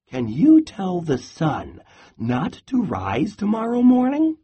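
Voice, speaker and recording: A tiny, eager squirrel with a high, squeaky voice that jumps all over, as a man puts him on. His bright questions come out husky around the edges. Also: huge pitch swings, slow, muffled recording, full character voice. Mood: curious. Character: small eager male squirrel.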